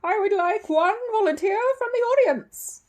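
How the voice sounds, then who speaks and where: Woman putting on a loud, showy stage magician. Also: lively intonation, normal speed. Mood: grand announcing. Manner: booming, declamatory showmanship. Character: grand showy male stage magician, teasing and theatrical.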